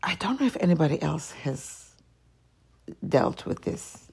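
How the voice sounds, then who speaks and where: A woman's voice: deep voice, big pitch swings, measured pace, gravelly, a little mellow, a little hiss or hum. A woman hosting her own podcast, talking alone in a rambling, self-questioning way and sometimes trailing off into a whisper. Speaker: podcast host. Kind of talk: solo confessional chat.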